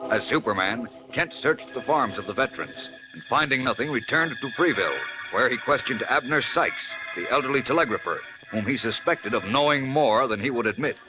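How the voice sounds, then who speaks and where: A man's voice: mid-range voice, poor audio. A man narrating a scripted radio serial as an announcer in 1940s style. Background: music.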